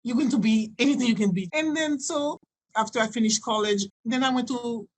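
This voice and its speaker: A woman in her sixties from Haiti, a native French and Creole speaker with a Haitian accent, telling personal stories in a halting, digressive way as a podcast guest. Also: on the high side. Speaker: podcast guest, doctor and healer. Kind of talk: conversational personal storytelling.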